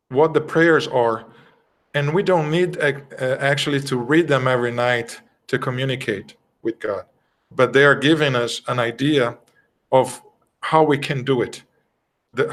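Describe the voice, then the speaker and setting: A middle-aged man with a Brazilian Portuguese accent, a native Portuguese speaker, giving an informal class at a spiritist study group in an earnest, conversational way and often pausing to search for words. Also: medium pitch. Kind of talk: informal doctrinal class talk.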